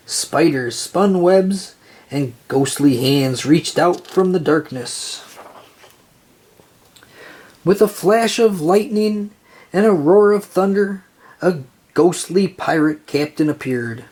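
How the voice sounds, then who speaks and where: Slow, husky children's storybook reader. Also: mid-range voice, a little mellow. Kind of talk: children's read-aloud narration.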